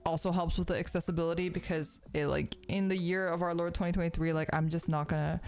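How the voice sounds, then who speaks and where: A woman's voice: lowish voice, fairly even intonation, quick pace, a little mellow, soft background music. A woman in her thirties, a streamer chatting casually while she crafts, sounding sniffly and congested, rambling with lots of fillers and swearing. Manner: rambling, profane, filler-heavy.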